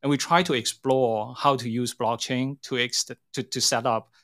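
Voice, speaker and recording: A middle-aged man with a Hong Kong accent talks as an interview guest. His pitch is average for a man. He explains things conversationally, pausing and rephrasing as he goes. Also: steady tone, a bit bright. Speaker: interview guest, tech company CEO.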